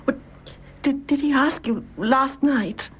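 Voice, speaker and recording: An actress playing a distressed, defensive young British widow in a radio drama, breathy, hesitant and emotional. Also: female voice, high-pitched, slow pace. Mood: shocked. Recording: muffled radio recording, clear background noise.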